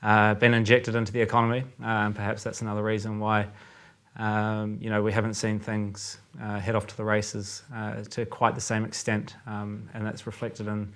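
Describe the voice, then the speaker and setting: A man with a New Zealand accent, a trader discussing currency markets as a podcast guest in a measured, thinking-aloud way. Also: fairly low pitch, completely flat delivery, a bit bright.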